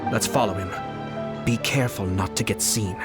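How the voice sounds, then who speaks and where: Man playing a low-voiced, composed, scheming nobleman. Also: lightly acted. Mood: calm, calculating. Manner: measured, courteous, articulate. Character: composed, shrewd male noble commissioner, polite schemer. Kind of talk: scripted video game dialogue. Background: game music.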